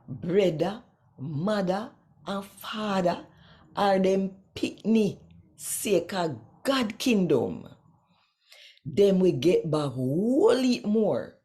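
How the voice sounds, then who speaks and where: A Jamaican woman reads scripture to her audience like a storyteller. Her voice is low and creaky, with very lively swings of pitch. She speaks deliberately and dramatically, in a strong Jamaican accent. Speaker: channel host and Bible reader. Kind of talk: expressive scripture reading and direct address to viewers.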